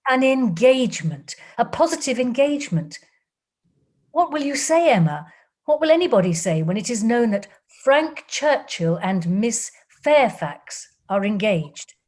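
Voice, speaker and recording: A woman reading an audiobook, voicing an anxious, gentle older woman who breaks startling news in a loud, trembling voice. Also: a little high, very animated, unhurried. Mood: urgent.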